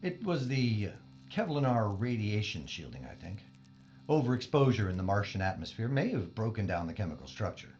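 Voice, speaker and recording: Man acting as haughty, precise scientist, animated pitch. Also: normal speed, a little hiss or hum. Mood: aloof. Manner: formal, condescending, precise. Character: haughty, exacting male scientist, prickly loner aboard spaceship. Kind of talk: audio drama acting. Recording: dull band-limited audio with faint music.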